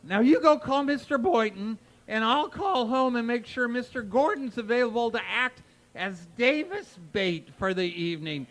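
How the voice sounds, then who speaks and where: A man's voice: very high voice, lively intonation, moderate pace, a bit bright. A man playing a brash, helpful teenage schoolboy with a breezy, slangy manner in an old-time radio comedy. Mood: breezy, eager. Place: live stage performance at a radio history event.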